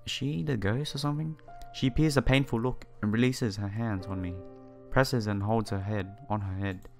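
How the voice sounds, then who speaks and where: A man reading visual novel text aloud as a let's player, adding his own asides in halting phrasing. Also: on the low side, steady tone, vocal fry. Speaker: video game let's player. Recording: music behind the voice. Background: game music.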